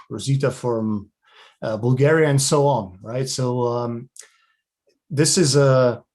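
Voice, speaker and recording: A man's voice: mid-range voice, a little mellow. Chatty man from Slovenia presenting. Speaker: guest speaker. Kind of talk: presenting a webinar. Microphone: video call.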